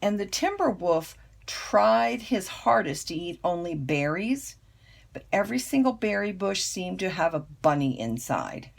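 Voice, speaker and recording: An older woman, a grandmother, reading a children's picture book aloud with expressive, storytelling emphasis. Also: lowish voice, gravelly. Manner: unhurried, dramatic storytelling emphasis.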